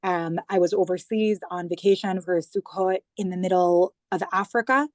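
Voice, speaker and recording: A woman's voice: on the low side, normal speed, dry recording, clean studio sound. Earnest American woman on a video call. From New York, United States. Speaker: guest, government digital communications head.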